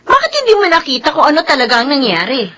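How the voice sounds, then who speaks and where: A woman's voice: high-pitched, very animated, rapid-fire, a bit bright. An actress playing a defensive young girlfriend in a Filipino radio drama, with a Filipino accent. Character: defensive, aloof young girlfriend refusing to meddle.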